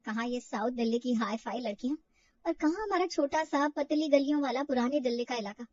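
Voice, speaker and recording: A woman acts out a self-doubting young woman in an audio story. The voice is high, fretful and defensive, with a dry, dull sound. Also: a little fast, darkish tone, no room sound, recorded from a distance. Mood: anxious brooding.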